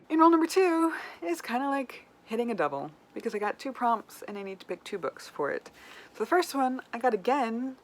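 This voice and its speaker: Casual chatty woman over clear background noise. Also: medium pitch, poor audio. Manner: chatty, informal, thinking aloud. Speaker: book vlogger. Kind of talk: casual chat to camera while playing a reading-list game.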